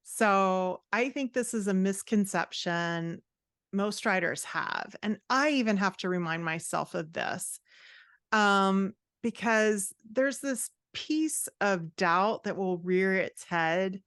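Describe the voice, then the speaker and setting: A woman's voice: mid-range voice, slow, a bit bright, no echo, studio quality. A woman speaking as a guest writing coach, explaining her ideas in a conversational, encouraging and reflective way. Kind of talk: conversational advice in a podcast interview.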